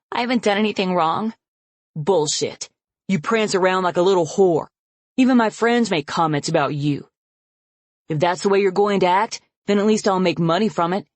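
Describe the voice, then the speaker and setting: A woman's voice: mid-range voice, normal speed, dry recording, pitched above the performer's own voice, close to an everyday voice. A woman voicing a snarling, abusive father. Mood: menacing, angry. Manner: snarling, menacing, shouting. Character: abusive furious middle-aged father.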